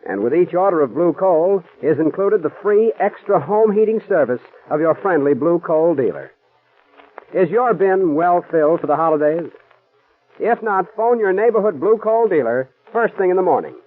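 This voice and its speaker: A man's voice: high-pitched, expressive, normal speed. A man announcing a 1940s radio drama and reading its sponsor's ad in a polished, formal way. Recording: tinny old radio broadcast with faint music.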